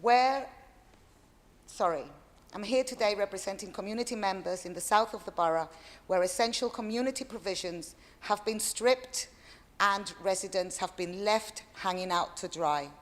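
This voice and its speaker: A woman's voice: mid-range voice, moderate pace. Nervous woman reading a pointed statement. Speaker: resident speaking at a council meeting. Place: council chamber. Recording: long reverb of a hall.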